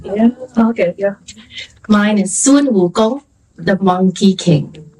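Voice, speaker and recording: A woman from Singapore speaking casually on a festival panel, hesitant with many pauses. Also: mid-range voice, a little mellow, breathy edge, distant mic, low-quality recording. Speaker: author on a panel. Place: festival venue.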